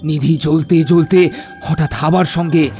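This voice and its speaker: A man's voice: fairly high pitch, steady tone, normal speed, mellow, faint music behind. A man telling a horror story as scripted, dramatic narration.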